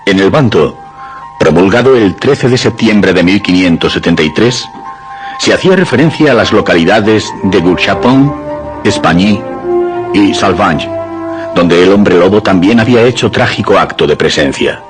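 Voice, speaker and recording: A man's voice: low-pitched, animated, normal speed. A man giving dramatic scripted narration for suspense radio theatre. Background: music.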